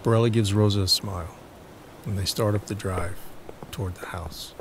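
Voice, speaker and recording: A man's voice: lowish voice, fairly even intonation, normal speed, slight background noise. A man narrating a scripted audio drama, reading scene descriptions as the narrator.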